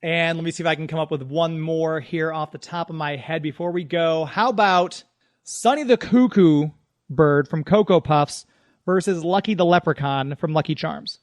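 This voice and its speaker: A man's voice: on the high side, moderate pace, no echo. A man hosting a radio show in a chatty, informal, off-the-cuff way, moving between casual talk and sponsor reads.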